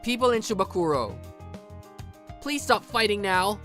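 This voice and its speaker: Woman playing a low-voiced, earnest teenage anime boy. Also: lively intonation, moderate pace, a bit bright, faint music behind. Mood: urgent, protective. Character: timid but loyal introverted teenage high school boy. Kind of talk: manga dub dialogue and first-person narration.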